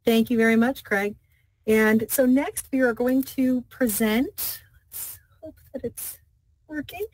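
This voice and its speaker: Higher-pitched woman moderating a webinar. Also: slow pace, darkish tone, low-quality recording. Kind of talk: informal hosting between presentations.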